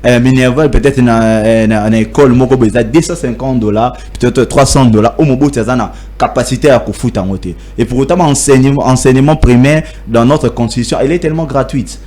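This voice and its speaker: A young man with a Congolese accent, speaking haltingly in a debate and switching between French and Lingala. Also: medium pitch, a little mellow. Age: young adult. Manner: halting, many restarts, mixes French with Lingala. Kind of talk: hesitant debate talk.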